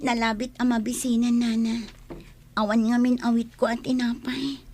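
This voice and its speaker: A woman's voice: a little high, level tone, moderate pace, darkish tone. A woman acting a meek, downcast young mother in an audio drama. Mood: meek, sorrowful. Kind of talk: quiet audio drama dialogue.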